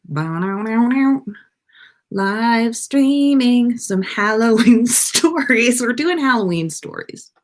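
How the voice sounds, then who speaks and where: A woman's voice: on the high side, lively intonation, takes it slowly. An American woman hosting a casual livestream, chatting to viewers in a playful, rambling way. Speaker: podcast livestream host.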